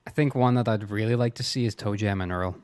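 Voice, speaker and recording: A man's voice: fairly low pitch, fast, darkish tone. A man co-hosting a casual podcast, speaking in a blunt, opinionated and informal way. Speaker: podcast co-host. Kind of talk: casual chat.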